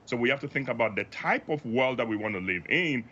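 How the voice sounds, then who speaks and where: A man from Africa speaking as an expert guest in a news interview, measured and emphatic as he makes his points. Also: mid-range voice, expressive, bright, forceful tone, lo-fi sound. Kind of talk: live TV news interview, analytical commentary.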